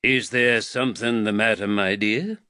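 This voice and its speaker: A man voicing a courteous, calm husband with an American drawl and clear diction in a storybook. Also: fairly low pitch, normal speed, no echo, studio quality. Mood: calm, curious. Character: calm, courteous, slight bespectacled foreign husband.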